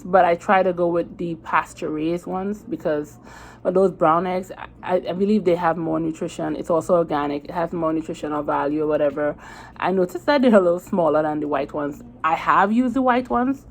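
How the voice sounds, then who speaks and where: A woman's voice: fairly low pitch, a little hiss or hum. A woman with a Trinidadian accent chatting casually to her viewers, rambling a little with fillers and restarts. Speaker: vlogger. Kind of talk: casual chat to camera.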